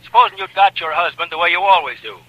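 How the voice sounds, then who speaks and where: A man in 1940s radio acting, playing a brisk, dismissive police desk sergeant who talks down to a caller. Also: high voice, pushed and bright, dry recording, poor audio.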